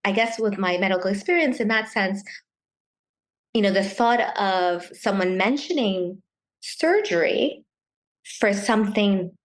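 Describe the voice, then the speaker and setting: A middle-aged woman born in Taiwan, talking casually as an interview guest, often pausing and restarting her sentences. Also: medium pitch. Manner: hesitant, many restarts and fillers.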